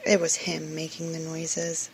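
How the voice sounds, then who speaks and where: A woman's voice: deep voice, monotone, measured pace, slight background noise, poor audio. A woman reading a children's storybook aloud expressively, as the narrator. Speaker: story reader.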